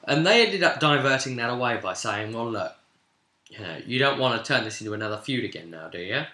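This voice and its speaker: Guy recapping his rpg session, kinda rambly, sharp bright voice, roomy echo. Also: medium pitch, animated, a little fast. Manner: rambling, informal, acts out dialogue in passing. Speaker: game master recapping a tabletop role-play session. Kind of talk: casual retelling of a game session. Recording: echoey room.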